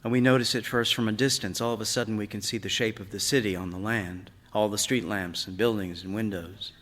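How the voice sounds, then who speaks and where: A man reading a literary short story aloud on stage, in a measured, expressive way that brings out the drama. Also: fairly low pitch. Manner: measured, expressive, acts out dialogue. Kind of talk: dramatic literary narration read to an audience. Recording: reverberant hall, live stage reading.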